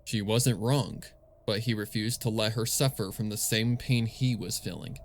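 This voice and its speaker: A man narrating scripted fan fiction as a storyteller, measured and solemn in his delivery. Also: on the low side, a little hiss or hum.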